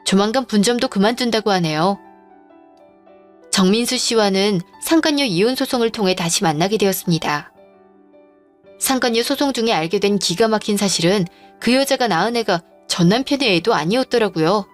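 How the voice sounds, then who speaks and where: A woman in her forties narrating a family story and speaking her own part, calm, firm and matter-of-fact. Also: mid-range voice, fairly quick. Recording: dry studio voice-over with faint music.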